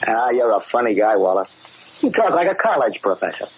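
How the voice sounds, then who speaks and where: A man's voice: medium pitch, big pitch swings, fairly quick, close to an everyday voice. Man acting a brash gangster, swooping, slangy delivery. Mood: brash, impatient. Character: cocky tough-talking male gangster in hiding. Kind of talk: 1940s radio drama acting. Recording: muffled, hissy 1940s radio recording.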